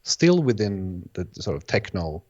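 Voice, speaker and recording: Guest: native Bosnian-speaking man, lowish voice, halting and reflective, on a dull lo-fi recording. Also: very animated, darkish tone. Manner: hesitant, restarts phrases, many "sort of" hedges. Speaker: guest, electronic music producer. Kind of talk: casual interview answers.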